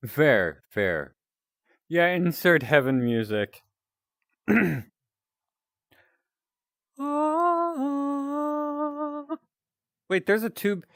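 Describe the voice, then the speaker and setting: A man with a deep, announcer-like voice, chatting casually with viewers while he plays a game. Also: drawn-out words, pauses often, clear, resonant tone, no room sound, clean studio sound. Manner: relaxed, rambling, chatting to viewers. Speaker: streamer.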